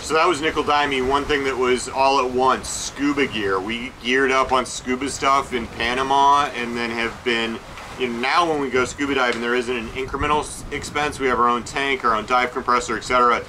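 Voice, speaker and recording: A man talking through a list of costs aboard a sailboat, calm and practical, stopping often on "uh" and "um". His pitch sits in an ordinary middle range. Wind and boat noise run clearly behind him. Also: fairly quick. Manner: matter-of-fact, listing figures with many uh fillers. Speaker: sailing vlog co-host. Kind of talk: casual expense breakdown.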